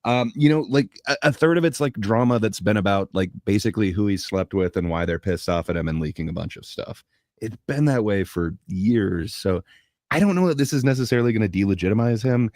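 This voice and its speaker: Low-voiced man on a casual panel. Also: expressive, a little fast, a little mellow, no room sound, clean studio sound. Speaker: panelist on a livestream show. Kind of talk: casual panel chat about internet drama.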